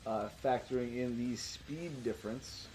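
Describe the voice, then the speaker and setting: A man's voice: mid-range voice, measured pace, rough recording. A man acting as game master in a casual tabletop session, narrating and bantering with a joking, foul-mouthed manner.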